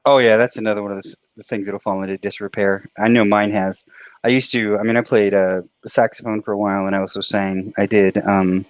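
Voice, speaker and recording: A man with a baritone voice and a bit of a twang, telling rambling stories in a casual late-night stream chat. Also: level tone, fairly quick, no echo. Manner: rambling storyteller, restarts a lot. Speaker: stream co-host.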